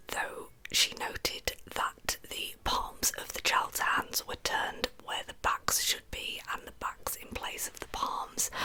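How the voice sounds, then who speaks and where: A woman whispering softly and breathily in an unhurried ASMR style, narrating a story as its host with casual asides. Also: poor audio. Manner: soft-spoken, unhurried storyteller. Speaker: ASMR channel host telling true crime stories.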